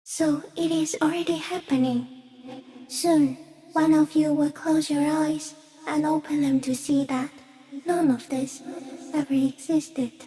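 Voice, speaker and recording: A woman voicing a giant stick insect in a video game, gentle, solemn and cryptic, speaking in slow, measured phrases. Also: sits high, steady tone, low-quality recording. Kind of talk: video game character acting.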